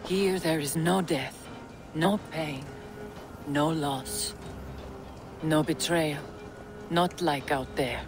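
Slow-spoken old seeress over music. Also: female voice, low voice, heavily acted. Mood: resigned, calm. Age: older. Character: old seeress, gentle and resigned, at peace in paradise. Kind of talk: dramatic video game acting.

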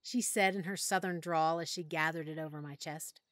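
A woman narrating a first-person audiobook story in a measured, emotional way that sometimes drops to a hush. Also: deep voice, fairly even intonation, no room sound, clean studio sound. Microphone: close studio mic.

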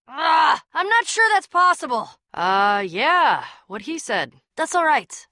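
A woman's voice: high voice, bright, forceful tone, dry recording, studio quality, heavily acted. A woman playing a brave, sarcastic young adventurer in a video game, with a casual, wry and teasing delivery. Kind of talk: animated game dialogue.

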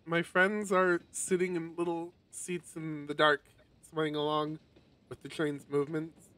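A man's voice: fairly high pitch, little pitch movement, unhurried, pushed and bright, distant mic. A man streaming a visual novel, reading its narration aloud in a dramatic storytelling style.

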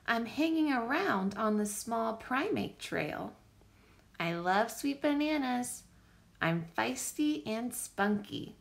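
A woman reads a children's story aloud in a high voice. Her delivery is unhurried and deliberate, with big swoops in pitch. She phrases it clearly, as a teacher does for young listeners. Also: expressive. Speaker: teacher reading a picture book aloud.

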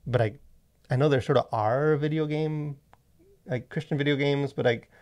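A man in his thirties co-hosting a casual podcast, talking in a halting, wordy way as he thinks aloud, close on a studio mic. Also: medium pitch, steady tone, darkish tone. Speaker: podcast co-host. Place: small indoor podcast studio. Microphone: close boom-arm studio microphone.

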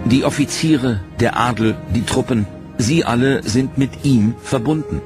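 A man narrating a history documentary in a measured, solemn scripted voice-over. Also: medium pitch. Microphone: studio voice-over. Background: loud dramatic music.

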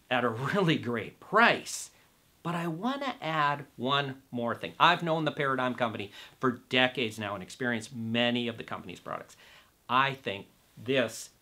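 A man in his fifties presents a product review straight to camera. His voice is bright and forceful, at a middling pitch. He stresses key words firmly and leaves deliberate pauses between phrases. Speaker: host and audio reviewer. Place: indoor room set with records on the wall.

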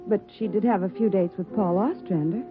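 A woman's voice: mid-range voice, brisk, natural voice. An actress playing a hesitant, wary female piano store clerk in a 1940s radio drama. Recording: tinny 1940s radio with music.

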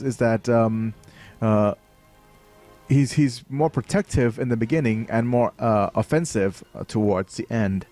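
A man's voice: mid-range voice, darkish tone. A young man from Thailand with a light Thai accent, streaming and explaining a game with many fillers and restarts. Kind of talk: live game commentary.

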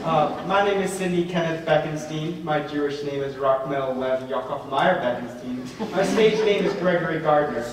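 A man acts a self-assured dancer in his thirties at a stage audition. His slightly high voice is distant, echoing, with audience sounds behind. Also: normal speed, few pauses, crowd noise, close to an everyday voice. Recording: echoey theatre from far, audience noise.

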